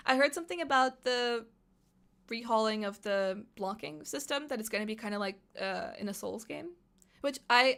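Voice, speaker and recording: A young woman, a native Russian speaker, chatting excitedly on a live stream and reading out chat questions. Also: medium pitch. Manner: chatty, excitable, thinks aloud with fillers.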